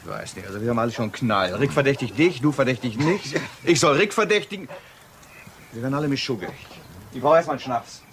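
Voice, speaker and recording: An actor playing a blunt, irritable police detective in a radio crime drama, speaking in a brusque, colloquial way. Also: male voice, medium pitch, animated, quick pace, fairly bright tone. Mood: exasperated. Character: blunt, irritable male police detective, loyal but suspicious. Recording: clear background noise.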